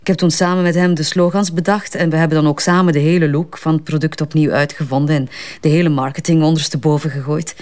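An actress with a Flemish accent playing a brisk, candid advertising strategist who gets defensive under police questioning, in a naturalistic crime drama. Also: female voice, sits low, fairly even intonation, darkish tone, lightly acted. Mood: explaining, confident.

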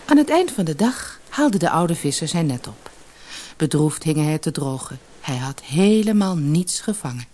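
A woman reading a children's fairy tale as a storyteller, in an expressive, measured narrating style. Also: low-pitched. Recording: noisy old cassette audiobook.

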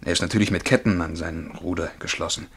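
An actor playing a dreamy, excitable young male bank clerk and would-be poet in a German radio play, fervent and absorbed as he describes things vividly. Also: low-pitched, fast talker, gravelly, husky, exaggerated voice. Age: young adult.

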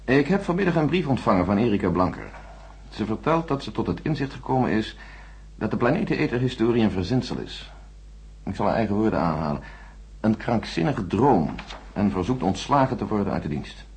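An actor playing a coolly official, condescending chief space engineer with a husky voice and a formal, patronising way of talking. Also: male voice, lowish voice, sing-song, fairly quick, darkish tone, a little hiss or hum. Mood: businesslike. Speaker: radio drama actor. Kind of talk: radio play dialogue.

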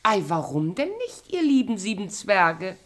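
A woman's voice: medium pitch, sing-song, a little slow. A woman playing a wicked queen posing as a sweet, wheedling peddler in a radio play. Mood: sweetly coaxing.

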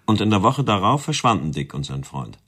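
An actor playing a businesslike investigator in a radio play who asks questions while taking notes. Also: male voice, on the low side, big pitch swings, a little fast, a bit bright, breathy edge, full character voice.

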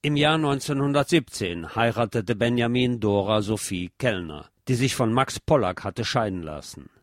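A man's voice: medium pitch, expressive, moderate pace, no room sound, clean studio sound. A man reading a scripted biographical narration.

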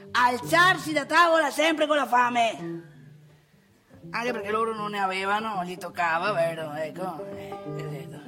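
Una donna che interpreta in un monologo teatrale comico un vecchio marito chiacchierone, vanitoso e brontolone, con del Nordest italiano e modi enfatici. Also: female voice, fairly high pitch, sharp tone. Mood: preachy, jovial. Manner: chatty, emphatic, repetitive, tag questions and exclamations. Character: garrulous old married man, nagging, boastful, grumbling father. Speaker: stage monologue performer. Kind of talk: comic theatre monologue addressed to the audience.

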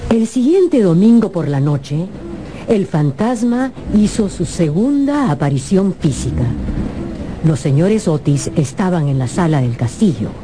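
Slow, expressive woman storyteller. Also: fairly low pitch, background noise. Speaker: narrator. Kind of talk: dramatized scripted storytelling.

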